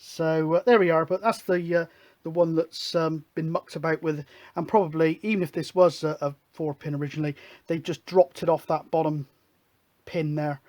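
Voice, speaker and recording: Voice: medium pitch, fairly even intonation, normal speed, darkish tone. A hobbyist presenter with a mid-range voice talks through a technical demonstration. The delivery is casual and chatty, like someone working things out aloud at the bench. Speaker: hobbyist radio show host. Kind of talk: informal hands-on technical demonstration.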